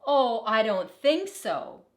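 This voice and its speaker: A proud, defiant young princess knight from a storybook, acted out by a woman reading aloud. She speaks emphatically and indignantly, with highly animated pitch. The sound is echoey and roomy. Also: slow. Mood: triumphant. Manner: emphatic, exclamatory. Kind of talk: picture book read-aloud character voice.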